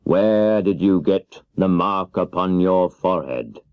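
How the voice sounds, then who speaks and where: A man voicing a stern, commanding great wizard who speaks deliberately in a children's storybook. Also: low-pitched, smooth, dry recording. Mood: questioning, imposing. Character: stern, bargaining great wizard, a giant head. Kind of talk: children's audiobook character voice. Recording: dull 1970s cassette audiobook.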